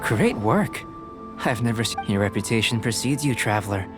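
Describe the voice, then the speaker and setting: An actor playing a calm, politely detached young male researcher in a video game, speaking in a measured, precise, formal way. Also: fairly low pitch, over music, close to an everyday voice. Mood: intrigued.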